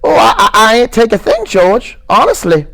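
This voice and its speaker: A man's voice: high voice, lively intonation, normal speed, gravelly, pushed and bright, pitched above the performer's own voice, exaggerated voice. A man voicing a stammering, childlike big farmhand. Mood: timid, pleading. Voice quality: stammering, pleading. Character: big simple-minded adult man, childlike, timid, anxious. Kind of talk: audiobook character reading.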